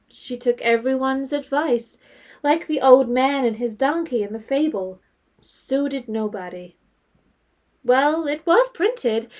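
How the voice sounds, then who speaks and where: A woman acting as a storybook narrator with a fairly high voice. She reads literary prose in a measured, composed way, as scripted narration for an audio drama. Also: a little mellow.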